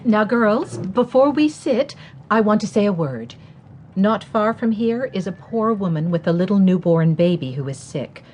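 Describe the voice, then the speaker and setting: A middle-aged actress playing a kind, steady mother in a radio drama, gentle, composed and guiding. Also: mid-range voice, moderate pace, slight background noise, natural voice. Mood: earnest.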